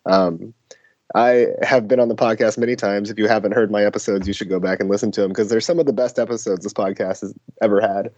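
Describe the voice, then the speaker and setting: A hoarse man chatting as a podcast guest, joking in a dry, self-deprecating way. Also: lowish voice, fairly even intonation, fast, a little mellow, no echo, dull audio. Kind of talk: casual podcast chat.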